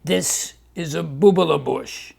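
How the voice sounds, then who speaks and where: A whooping old doctor in a hat, as a man puts him on, rolls out his rhymes in a sing-song lilt. The voice is gravelly and slow, pitched a touch high. It sounds like a storybook read aloud. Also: darkish tone, exaggerated voice. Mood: jovial.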